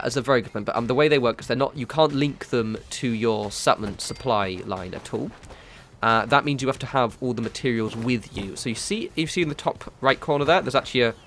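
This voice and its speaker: A man's voice: fairly low pitch, a bit bright. A man with a stuffy nose from a cold, giving rambling, casual gameplay commentary with plenty of self-corrections and offhand swearing. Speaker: gaming video creator.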